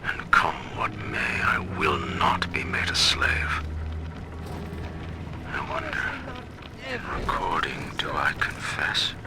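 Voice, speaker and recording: Game villain: imperious middle-aged tycoon, low-voiced and sweeping in intonation, pompous menace, on a noisy old diary recording. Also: male voice, expressive, exaggerated voice. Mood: bitter, confiding. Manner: pompous, deliberate, menacing formal diction. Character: stern, proud, autocratic male tycoon ruling his own city. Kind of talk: dramatic recorded diary monologue, formal oratory.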